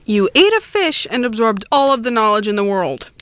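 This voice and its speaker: A proud, persuasive mother played by a woman in an audio drama. She speaks in a fairly high voice as she coaxes her grown son. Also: big pitch swings, moderate pace, a bit bright. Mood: disbelieving, persuasive.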